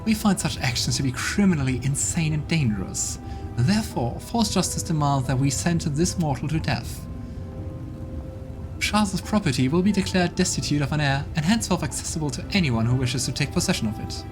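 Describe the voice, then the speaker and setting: A man's voice: fairly high pitch, lively intonation, a little fast, close to an everyday voice. A man voicing a cruel, theatrical demon judge in a video game reading, with a declamatory, contemptuous delivery. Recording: background game music.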